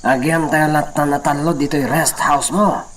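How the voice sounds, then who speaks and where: A man's voice: on the high side, steady tone, moderate pace, fairly bright tone, natural voice. A man playing a chatty, nosy henchman in a comic Ilocano radio drama. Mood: deferential. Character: chatty, nosy male henchman serving a young master. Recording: clearly noisy background.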